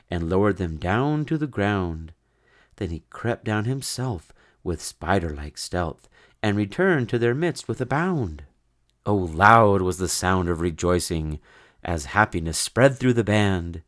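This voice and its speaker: Low-voiced man reading a story, very animated. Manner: expressive, theatrical storytelling. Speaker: storybook narrator. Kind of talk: dramatic rhyming children's story read-aloud.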